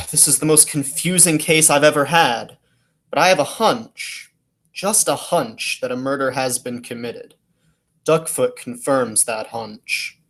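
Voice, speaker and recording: A man's voice: mid-range voice, measured pace, a bit bright. A man playing an earnest, businesslike police detective in a 1940s radio play. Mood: intent, troubled. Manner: earnest, questioning, matter-of-fact.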